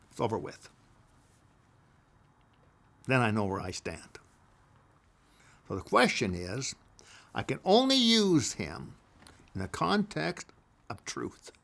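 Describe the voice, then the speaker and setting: An older man recounting his life in a casual oral memoir, deliberate and repeating phrases for emphasis. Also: mid-range voice, creaky, fairly bright tone.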